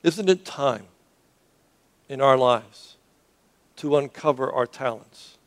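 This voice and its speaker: A man's voice: medium pitch, lively intonation, slow pace. A man who grew up in Cuba, preaching a sermon to a congregation in an earnest way, often restarting words and asking the audience questions. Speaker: pastor.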